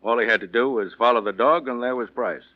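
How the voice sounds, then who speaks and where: A man's voice: medium pitch, fast talker, lightly acted. Matter-of-fact police investigator played by a man, muffled. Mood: satisfied. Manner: plain, explanatory, courteous. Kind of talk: 1940s radio drama acting. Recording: muffled vintage 1940s radio recording.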